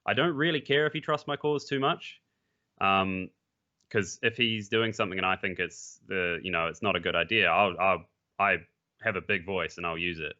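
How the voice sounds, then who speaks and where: A man's voice: low-pitched, moderate pace, sharp tone. A man, a pro esports player, talking casually and bluntly as a podcast guest, with frequent restarts and the odd swear. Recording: muffled lo-fi mic.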